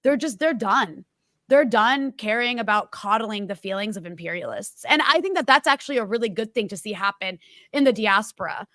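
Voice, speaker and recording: A woman's voice: mid-range voice, expressive, normal speed, sharp tone, no echo, studio quality. A woman in her thirties hosting an interview, talking in an emphatic, impassioned way. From United States. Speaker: host.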